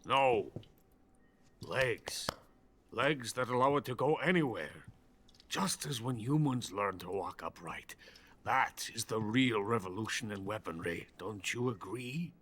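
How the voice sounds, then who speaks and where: An actor playing a drunk, boastful older Soviet weapons scientist in a video game cutscene. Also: male voice, fairly low pitch, lively intonation, a little slow, a bit bright. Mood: bitter, scheming. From Soviet Union. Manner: pompous, self-important, bitter.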